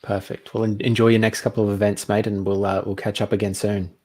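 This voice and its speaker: A man's voice: fairly low pitch, flat delivery, fast, a little mellow. A man casually hosting a live online event, speaking in an affable, somewhat hesitant and informal way. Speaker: summit host. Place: online video call.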